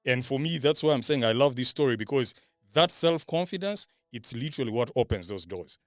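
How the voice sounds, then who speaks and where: A man's voice: medium pitch, animated, fairly quick, dry recording, studio quality, soft background music. A man giving casual motivational talk on a podcast panel, emphatic and repeating phrases for effect.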